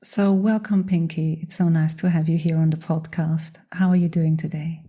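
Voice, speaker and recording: A woman's voice: low voice, fairly even intonation, moderate pace, dark tone. A woman hosting a podcast, speaking in a scripted introduction and interview style. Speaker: podcast host.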